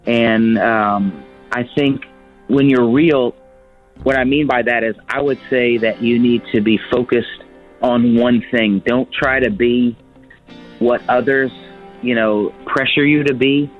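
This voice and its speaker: A man gives earnest advice in halting phrases at a medium pitch. The recording is somewhat dull, with soft guitar music playing behind him. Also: fairly even intonation. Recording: dull muffled audio under music.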